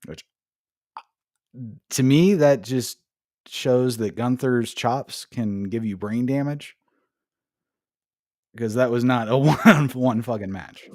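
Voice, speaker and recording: A man's voice: medium pitch, slow pace, pauses often, no echo, studio quality. A man hosting a podcast, recapping wrestling in a casual, joking way with swearing. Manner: swearing, laughing recap with jokey put-on voices. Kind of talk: casual chat.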